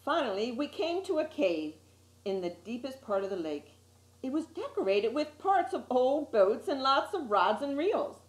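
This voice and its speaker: A woman reading a children's picture book aloud with dramatic, deliberate emphasis on key words. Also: high-pitched, very animated. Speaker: storytime reader. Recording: roomy, echoey sound.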